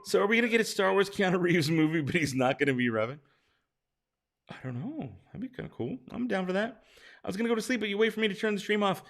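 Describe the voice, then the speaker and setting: A man with a hoarse, tired voice, casually reading out viewer questions and answering them on a late-night live stream. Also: sits high, big pitch swings, fast, fairly bright tone.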